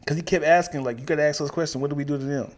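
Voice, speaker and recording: A man with a somewhat high voice co-hosting a podcast. He talks loosely and casually, trailing off and restarting, and slips into quoted voices when he retells a story. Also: rapid-fire. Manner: relaxed, trails off, quotes others in raised voices. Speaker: podcast co-host. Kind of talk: casual chat.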